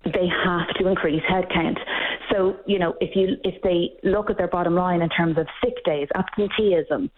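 An Irish woman answering interview questions earnestly and emphatically, often restarting her sentences. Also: lowish voice. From Ireland. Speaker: guest expert. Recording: tinny phone-like line.

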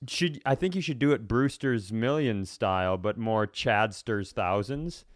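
A man co-hosting a comedy podcast in casual chat, rambling through animated, mock-serious explanations. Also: fairly low pitch, a little slow, no echo, clean studio sound. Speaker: podcast co-host.

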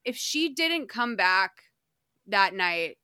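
A woman with a bright, crisp voice chats casually as a podcast co-host, rambling through her thoughts with lots of fillers. The sound is dry and close. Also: mid-range voice, slow pace, dry recording. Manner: rambling, filler-heavy, animated. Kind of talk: casual chat recapping a documentary.

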